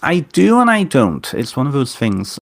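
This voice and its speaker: A man's voice: medium pitch, wildly swooping pitch, moderate pace, creaky, no echo. A man from Wales with a Welsh-tinged British accent, a podcast guest enthusiastically retelling a folk legend at length in casual interview talk. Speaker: podcast guest, author and witch.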